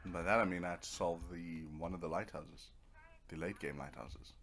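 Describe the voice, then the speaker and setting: A man's voice: low voice, a little fast, fairly bright tone, a little hiss or hum. A man giving casual, rambling commentary over a video game, with a dry, self-deprecating sense of humor. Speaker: video game let's player.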